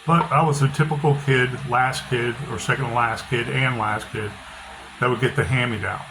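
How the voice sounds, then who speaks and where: A middle-aged author talking casually with a loud, bass-heavy voice, blunt and opinionated, often repeating himself. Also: male voice, fairly even intonation, normal speed. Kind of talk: casual monologue. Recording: noisy background hiss.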